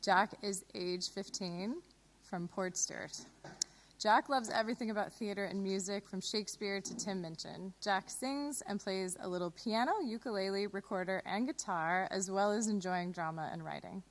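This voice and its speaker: A woman's voice: mid-range voice, moderate pace. Woman hosting, noticeable background noise. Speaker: host introducing plays. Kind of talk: live broadcast introduction. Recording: clear background noise and ambience.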